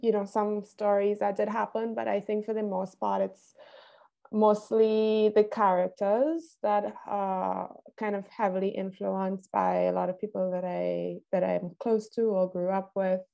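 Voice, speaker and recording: Creaky-voiced young woman from Trinidad. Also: medium pitch, flat delivery, unhurried, dark tone, dull audio. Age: young adult. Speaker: film director being interviewed. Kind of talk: casual interview talk. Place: indoor room, video call. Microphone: wired earphones on a video call.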